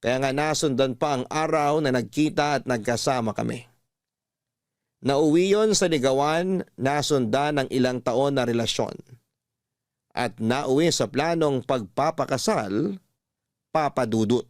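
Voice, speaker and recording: A man's voice: medium pitch, measured pace, no echo, studio quality. Filipino radio storyteller, man, dramatic narration. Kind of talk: scripted dramatic narration, reading a listener's letter.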